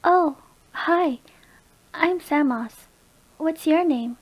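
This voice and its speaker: A woman's voice: sits high, drawn-out words, low-quality recording. A woman voicing a shy, overwhelmed young alien from the countryside, hesitant and apologetic, in an audio roleplay. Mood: nervous, shy.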